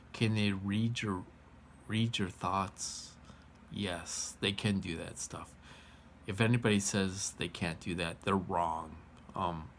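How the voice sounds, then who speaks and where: Slow-talking American man in his forties. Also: low voice, a bit bright, slight background noise. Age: 40s. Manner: repetitive, emphatic, colloquial. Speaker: vlogger. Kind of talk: informal monologue to viewers.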